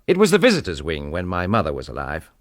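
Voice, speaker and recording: A well-bred English master of a country house in a radio play. His voice is lowish and very animated, recorded dry. He is brisk, casual and sure of himself. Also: dry recording. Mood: breezy, brisk. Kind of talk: radio drama acting.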